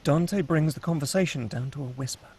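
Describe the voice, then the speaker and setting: A man's voice: fairly high pitch, fairly quick, darkish tone, husky. A man reading screenplay action and scene headings as the narrator of a table read.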